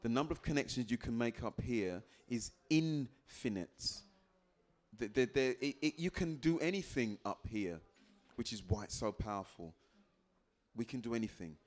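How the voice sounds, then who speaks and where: A man, a life coach giving an animated motivational talk to a live audience and putting questions to it. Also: mid-range voice, normal speed. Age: 50s. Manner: animated, quotes people in put-on voices, asks the crowd questions.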